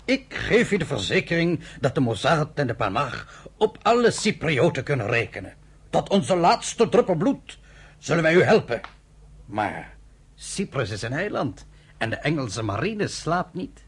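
An indignant cypriot shipowner, theatrical, very breathy, pitch all over. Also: male voice, very animated, unhurried, full character voice. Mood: earnest. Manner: theatrical, indignant, swings between soft and loud. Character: emotional Cypriot shipowner, proud, middle-aged man. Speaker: actor in a radio play.

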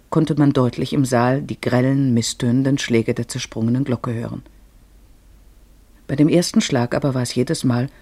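A man narrating a literary tale for a radio drama, expressive and measured in his phrasing. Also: mid-range voice, husky, dry recording.

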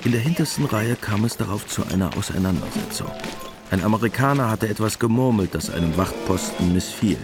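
A man's voice: fairly low pitch, lively intonation, brisk. A man narrating a scripted radio drama as its storyteller. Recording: music behind.

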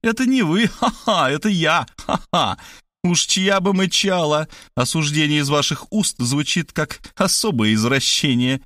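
A man voicing a haughty, sharp-tongued woman sleuth from a novel, commanding and theatrically indignant. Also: a little high, animated, unhurried, dry recording, studio quality, pitched above the performer's own voice. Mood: scornful.

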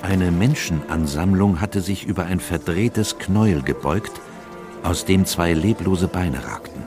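Earnest gentleman storyteller, low voice, measured radio play narration over music. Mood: tense, measured. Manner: measured, literary, suspenseful. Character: earnest Victorian gentleman doctor recounting a dark mystery. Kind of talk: scripted first-person radio play narration.

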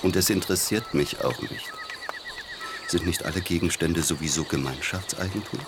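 An actor in a radio drama playing a haughty, self-justifying musician, wordy and affronted. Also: male voice, deep voice, moderate pace, background noise. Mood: indignant, defensive.

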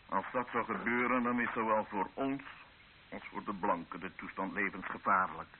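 A man's voice: on the low side, steady tone, moderate pace, natural voice. An actor playing a proud, embattled male army captain and town commander, formal and authoritative, in a radio drama. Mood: stern, forceful. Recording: dull narrow-band old radio recording with hiss.

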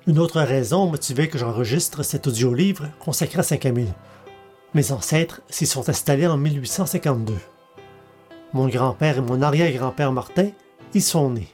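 A man's voice: a little high, a little mellow. A man giving a scripted podcast introduction as its host.

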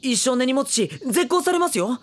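A woman playing a polite, hesitant high school boy in an audio drama. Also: very animated, moderate pace, breathy edge, full character voice. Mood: flustered. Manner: polite, hesitant, slightly exasperated. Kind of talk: Japanese audio drama acting.

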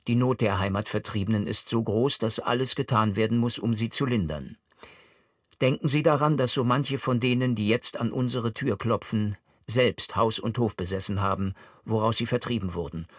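Guy acting as a stiff formal bureaucrat reading a notice, lowish voice, close dry sound, radio drama. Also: no echo, lightly acted. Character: formal, impersonal district official reading a notice. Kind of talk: formal official read-out.

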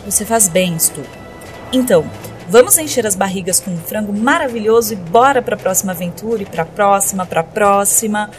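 Woman acting adventurous alien queen, Brazilian accent, audio drama, medium pitch, music behind. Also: animated, normal speed, close to an everyday voice. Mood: breezy, eager.

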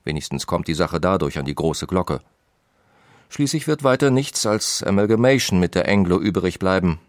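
A 19th-century businessman voicing his own letters for an audio documentary. The voice is very low with a lively, swinging melody. He is frank, deliberate and expressive, recorded close and dry. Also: no echo. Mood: worried, scheming.

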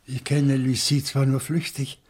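Calm interrogator in a radio crime play: husky mid-range voice, deliberate, insistent. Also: male voice, medium pitch. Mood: insistent, confiding. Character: calm, probing man questioning a suspect, Monsieur Fougère. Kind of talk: German radio crime drama acting.

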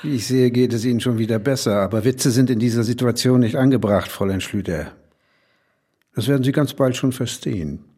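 Husky, mellow old village man, acted by a man. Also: medium pitch, lightly acted. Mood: grave, formal. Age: older. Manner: formal, measured, cryptic. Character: cryptic, formal old village man hinting at dark secrets. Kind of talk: audio drama acting.

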